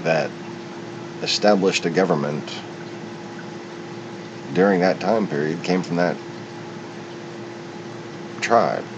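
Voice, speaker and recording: A man giving an unscripted, opinionated monologue, speaking in a halting, digressive way as a solo commentator. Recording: muffled, with loud steady rumble.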